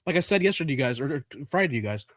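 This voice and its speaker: A man co-hosting a card podcast, congested and stuffy, talking in a halting way with many restarts. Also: on the high side, animated, a bit bright, no room sound, clean studio sound. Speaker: podcast co-host. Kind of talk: casual chat.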